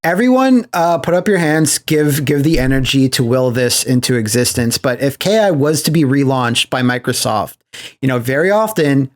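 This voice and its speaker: A man's voice: mid-range voice, expressive, moderate pace. A man co-hosting a podcast in a casual, jokey, conversational way. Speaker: podcast co-host. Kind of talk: casual chat.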